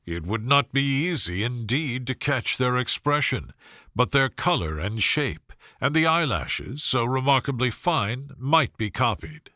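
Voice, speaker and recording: A man voicing a proud, courteous young gentleman in a storybook, formal, reserved and dryly polite. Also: mid-range voice, big pitch swings, measured pace, dry recording, clean studio sound. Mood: dryly composed.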